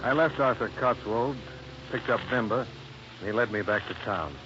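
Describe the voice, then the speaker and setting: A man's voice: mid-range voice, moderate pace, muffled recording, natural voice. A man playing a tough, wry American insurance investigator in a 1950s radio detective drama, dry and confident. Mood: dry, resolute. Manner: dry, confident, matter-of-fact. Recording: noisy, band-limited old radio recording.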